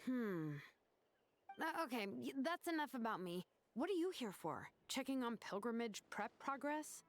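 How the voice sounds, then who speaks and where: A woman's voice: fairly high pitch, big pitch swings, moderate pace, soft background music. A woman voicing a casual, evasive young craftswoman who dodges work, in a video game.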